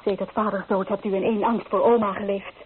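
An actress playing a restless, romantic young bride-to-be in a Dutch radio drama, speaking with emotional, poetic intensity. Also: female voice, mid-range voice, level tone, quick pace, breathy edge, phone-quality sound. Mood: defiant, agitated. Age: young adult. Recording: thin, band-limited old radio recording, faint noise.

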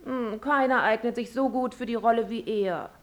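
Actress as a high-voiced, self-assured stage diva. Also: female voice, moderate pace, natural voice. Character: influential actress, gushing, self-assured favourite of the powerful. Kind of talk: German radio drama acting.

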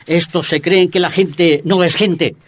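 Dirigente minero boliviano fogoso, voz aguda. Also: male voice, high voice, fairly even intonation, measured pace, a little hiss or hum. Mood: indignant. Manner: emphatic, oratorical, repeats phrases. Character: fiery male Bolivian miners' union leader, indignant, protective. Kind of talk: radio drama acting.

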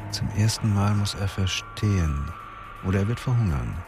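A man narrates a crime radio drama in measured, literary prose. His voice is low. Music plays clearly beneath his words. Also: animated.

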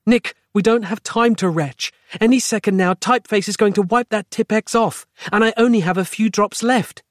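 A man's voice: sits high, normal speed, no echo, studio quality, voice pushed up, natural voice. A man voicing an urgent, exasperated woman in a comic horror story, with breathless melodrama. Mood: urgent, explaining. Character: panicky, exasperated woman, a horrified colleague and rescuer.

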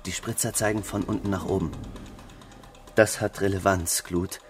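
A dry forensic expert acted by a man in a radio play, speaking in a lowish voice. He is pedantic and deadpan, with clipped answers. The space around him echoes heavily. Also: moderate pace, natural voice. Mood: dry, measured. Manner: terse, pedantic, deadpan, clearing throat. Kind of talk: radio crime drama acting. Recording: echoing stairwell-like reverb.

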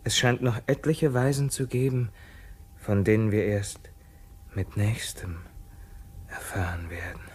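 A man's voice: fairly low pitch, steady tone, unhurried, long pauses, darkish tone, husky. An actor playing a proud, defiant, haunted king in a theatrical radio play, declaiming commands with sudden outbursts. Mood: grim musing.

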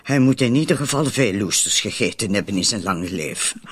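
Man as husky, animated, needling woman, Marilyn. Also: lowish voice, brisk. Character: wary, needling woman named Marilyn, accomplice in poisonings. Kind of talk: radio drama acting.

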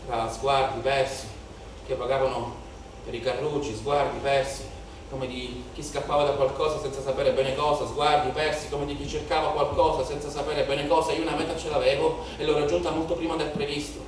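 A man's voice: fairly high pitch, little pitch movement, brisk, few pauses, lightly acted. A male stage actor playing an impassioned, haunted young protester in a declamatory theatrical monologue, with incantatory repetition. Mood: brooding, reflective. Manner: declamatory, incantatory repetition, swelling from hushed to shouted. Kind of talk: theatrical dramatic monologue in Italian political theatre. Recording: very echoey theatre, distant mic, noisy.

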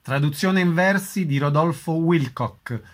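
A man's voice: a little high, unhurried. A man reading scripted credits as a radio announcer. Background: music.

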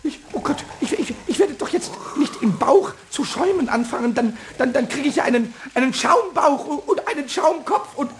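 Squeaky childish little goblin, swoops all over, whiny and cheeky, repeats himself, old radio play. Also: male voice, moderate pace, few pauses, slight background noise. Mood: indignant. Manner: stammering, repeating words excitedly. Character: childish mischievous little male kobold, boastful and whiny.

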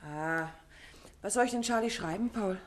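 A woman's voice: medium pitch, normal speed, slight background noise, close to an everyday voice. A woman playing a chatty, concerned wife. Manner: lively, conversational. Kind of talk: 1950s radio play acting.

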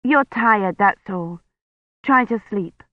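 A woman narrator acts a worried woman in a historical novel, urgent and insistent. Her voice is raised and pleading, yet deliberately paced. The audio is slightly dull. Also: mid-range voice, lively intonation, no echo. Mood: anxious, coaxing. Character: anxious, urgent young woman caring for a priest.

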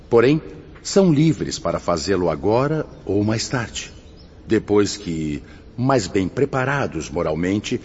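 Deep, solemn spirit mentor in reverberant hall. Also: male voice, a little mellow. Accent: Brazilian. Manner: solemn, declamatory. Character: solemn, authoritative male spirit mentor leading an assembly. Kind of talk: radio drama acting, oratorical sermon-like address. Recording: muffled, noisy, long hall reverb.

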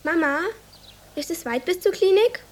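A woman's voice: very high-pitched, lively intonation, normal speed. An actress playing a plucky, responsible young village girl in a radio drama, speaking earnestly and insistently to protect her sister. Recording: studio radio drama with music underneath.

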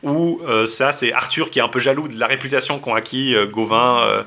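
Male podcast co-host, bright tone, casual storytelling, lots of fillers and restarts. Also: mid-range voice, fairly quick. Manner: summarizes loosely with many fillers and restarts, laughing often. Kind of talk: casual chat retelling a medieval romance.

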